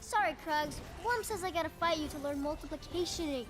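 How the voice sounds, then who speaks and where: Woman voicing a very high-pitched, imaginative little boy adventurer. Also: a little fast, natural voice. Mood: playful. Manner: earnest, whimsical, childlike storytelling. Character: imaginative, playful seven-year-old boy adventurer. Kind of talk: video game character voice lines. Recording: game clips with music behind.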